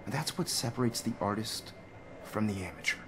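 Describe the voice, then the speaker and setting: A man with an American accent playing a charming, encouraging photography teacher who gently lectures in a video game. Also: lowish voice, lively intonation, brisk, noisy background, natural voice. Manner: smooth-talking, gently lecturing.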